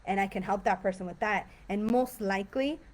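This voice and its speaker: A woman's voice: mid-range voice, brisk. Chatty woman teacher on a live stream. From San Diego and Tijuana. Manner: chatty, relaxed, many fillers. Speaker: English teacher. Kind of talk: casual live-stream talk.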